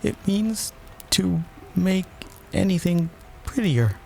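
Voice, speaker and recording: Man putting on a high-pitched, proper little girl. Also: lively intonation, darkish tone, faint music behind, natural voice. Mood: hesitant, polite. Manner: proper, questioning, quick to object. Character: polite but easily indignant curious little girl Alice. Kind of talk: storybook character voice.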